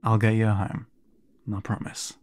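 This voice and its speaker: A man playing a kind, awkward siren merman in an audio roleplay, speaking to the listener in a hesitant, stammering, gently encouraging way. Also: on the low side, monotone, measured pace, close to an everyday voice. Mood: hesitant, reassuring. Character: kind, awkward male siren merfolk guiding a newcomer. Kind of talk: intimate audio roleplay drama, addressed to the listener.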